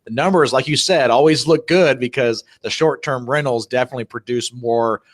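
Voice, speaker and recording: A man's voice: medium pitch, a little fast, no echo. Chatty male host, dry sound. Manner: chatty, lots of "you know" and "kinda" fillers. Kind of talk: casual interview.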